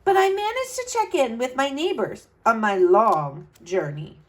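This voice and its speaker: A woman's voice: fairly high pitch, animated, measured pace. A woman reading a storybook as an earnest, adventurous young boy who tells his own tale dramatically. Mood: determined.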